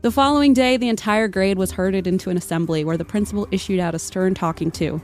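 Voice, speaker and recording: A woman's voice: mid-range voice, quick pace, a little mellow. A woman narrating a scripted comedic tale in a mock-dramatic, literary manner. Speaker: podcast storyteller. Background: music under the voice.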